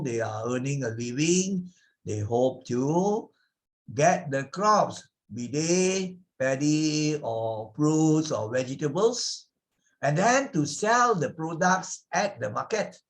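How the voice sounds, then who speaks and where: Slow, animated Malaysian man teaching. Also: fairly high pitch. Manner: didactic, often checks with tag questions. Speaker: teacher narrating a moral story. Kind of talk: explanatory storytelling lesson.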